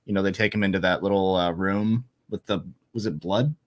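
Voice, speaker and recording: A man's voice: low-pitched, steady tone, brisk, no echo. A man on a film review panel chatting casually in a laid-back, hesitant way. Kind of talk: casual chat.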